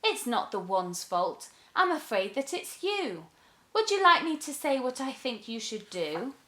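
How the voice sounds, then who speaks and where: Woman as a high-voiced, earnest kind girl. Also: sing-song, measured pace, fairly bright tone, echoey room, heavily acted. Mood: helpful. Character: kind, gentle, earnest girl advising a witch.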